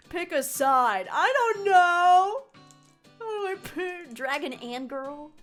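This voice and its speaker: A young woman streamer talks in a high, bright-pitched voice at an easy, unhurried pace. She is chatty and rambling, breaking into quick laughs as she answers chat on a casual live stream. Soft music plays faintly behind her.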